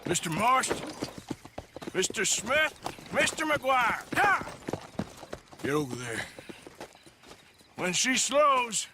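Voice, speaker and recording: An actor playing a gruff American Wild West gang leader in a video game, terse and sardonic, giving orders. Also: male voice, very high voice, big pitch swings, slow, long pauses, background noise, exaggerated voice. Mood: commanding. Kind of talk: video game cutscene acting. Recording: loud music over dialogue.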